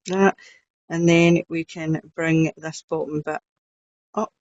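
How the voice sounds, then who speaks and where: A woman's voice: low voice, little pitch movement, slow, a little mellow, dry recording, lo-fi sound. A woman with a Scottish accent, chatting her way through a step-by-step crafting tutorial with blunt, frank asides. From Scotland.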